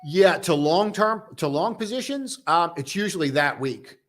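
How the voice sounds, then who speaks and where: A young man casually hosting a live stock-trading stream, chatting loosely and joking with viewers in a relaxed, tired way. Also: on the high side, normal speed, soft background music. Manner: loose, joking, full of restarts and "dude". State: tired, low on coffee.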